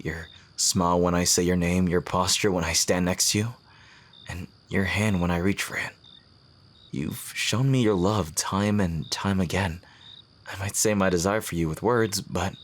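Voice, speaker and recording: A man playing a devoted fantasy rival confessing love in a romantic audio roleplay, speaking in an earnest, courtly, poetic way with soft hesitant pauses and a husky, breathy voice. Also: sits low. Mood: adoring. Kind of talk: romantic ASMR audio roleplay, intimate acting.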